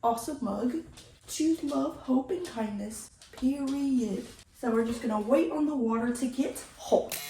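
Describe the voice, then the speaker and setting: Woman, casual vlog chat, slightly raspy, unhurried delivery, slangy and playful, echoey indoor room. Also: high-pitched, mellow, a little hiss or hum. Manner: slangy, playful, peppered with swearing and emphatic catchphrases. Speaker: vlogger hosting a sip-and-chat video. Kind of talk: casual rambling chat to viewers. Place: indoors at home. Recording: roomy, echoey home sound.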